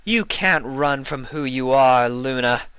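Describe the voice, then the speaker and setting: A man's voice: medium pitch, a bit bright, clear, resonant tone, no room sound, voice pushed up. Man voicing an eerie, taunting nightmare mare. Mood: taunting menace. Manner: menacing, taunting, drawn-out.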